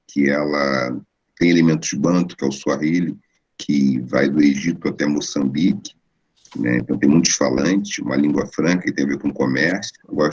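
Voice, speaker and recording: A Brazilian man in his forties gives a relaxed, conversational lecture over a video call. His voice is very low and husky. The sound is a little dull and lo-fi. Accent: Brazilian Portuguese. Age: 40s. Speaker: guest philosopher. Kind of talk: online lecture.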